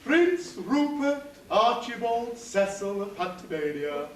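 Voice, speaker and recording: A man's voice: sits high, sing-song, slow pace, heavily acted. A man playing a fussy, flustered pantomime dame on stage with exaggerated mock solemnity. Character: flustered, comic pantomime dame officiating weddings. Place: amateur stage show in a hall. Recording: echoey hall from far off, noisy.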